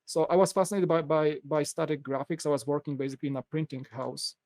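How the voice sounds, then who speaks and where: A man with a Polish accent, talking in a fairly high voice as a podcast guest. He tells his story with animated energy and acts out what others said. The sound is dry and close, with no room echo. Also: fast talker. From Poland. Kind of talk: casual podcast interview.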